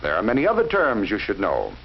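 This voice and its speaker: A man narrating a 1940s educational film in a measured, instructive way, speaking directly to the viewer. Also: fairly low pitch, huge pitch swings, gravelly, breathy edge. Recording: dull lo-fi 1940s film soundtrack with faint hiss.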